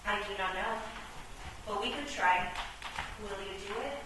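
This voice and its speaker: An actress playing an excitable, dreamy visionary chess piece in a theatrical radio play. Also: female voice, medium pitch, a little slow, natural voice. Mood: earnest. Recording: echoey, distant, noisy stage recording.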